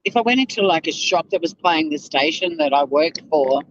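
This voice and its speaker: A high-voiced Australian man chats away to his livestream viewers, animated and loose-tongued, with a slightly whiny edge to his voice. The sound is dull and lo-fi, with a faint hiss underneath. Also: fairly quick. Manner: chatty, animated, swears freely. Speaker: livestream host. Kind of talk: casual livestream chat to viewers.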